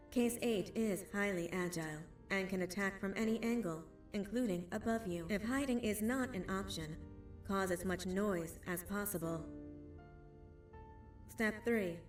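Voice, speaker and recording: A woman's voice: mid-range voice, close to an everyday voice. Echoey, formal woman acting as corporate safety-video narrator. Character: corporate safety-video narrator woman, composed, officious, darkly matter-of-fact. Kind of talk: scripted instructional announcement in a horror game. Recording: roomy, distant playback with background music.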